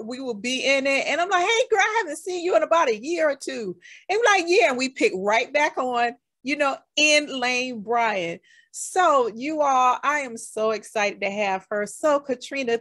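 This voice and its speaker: Bubbly high-voiced podcast host woman. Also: dry recording, studio quality. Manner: warm, enthusiastic, talks straight to listeners with "y'all". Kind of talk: casual interview chat.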